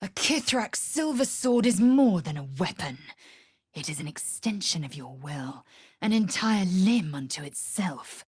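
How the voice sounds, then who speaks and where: A woman playing a fierce, proud, disdainful young githyanki warrior in a video game, speaking in a husky, breathy, imperious and clipped way. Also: fairly low pitch, lively intonation, dry recording, clean studio sound, exaggerated voice. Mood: proud, solemn. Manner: imperious, clipped, deliberate, formal.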